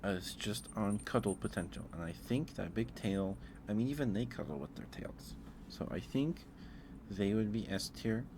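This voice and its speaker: A man with a low-pitched voice hosts a casual ASMR stream. He speaks softly and hesitantly, rambling as he thinks aloud. There is clear background noise on the recording. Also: expressive, normal speed. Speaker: livestream host. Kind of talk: casual ASMR livestream chat, ranking aloud.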